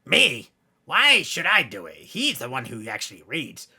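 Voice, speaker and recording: Man as a gravelly, forceful hellhound storyteller. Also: on the high side, huge pitch swings, measured pace, full character voice. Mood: grumbling. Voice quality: gravelly, raspy. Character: gruff grumbling hellhound Cerberus, host of bedtime stories. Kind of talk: scripted skit banter and horror storytelling.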